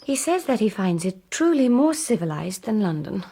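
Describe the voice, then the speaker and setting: Gentle, thoughtful young gentlewoman. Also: mid-range voice, big pitch swings, moderate pace, breathy edge. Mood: wistful. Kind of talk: period radio drama acting.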